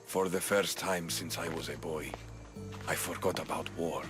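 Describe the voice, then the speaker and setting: Actor as low-voiced, earnest Spartan warrior. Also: male voice, steady tone, normal speed, over music, close to an everyday voice. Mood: tender, reflective. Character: earnest, intense male Spartan warrior and romantic love interest. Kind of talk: cinematic video game acting.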